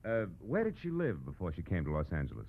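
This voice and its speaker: An actor playing a wry, pushy, world-weary private detective in a 1940s radio drama, with clipped, slangy tough talk. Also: male voice, low-pitched, huge pitch swings, fast, gravelly, exaggerated voice. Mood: smooth, probing. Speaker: lead actor and narrator. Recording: tinny old radio recording, music behind.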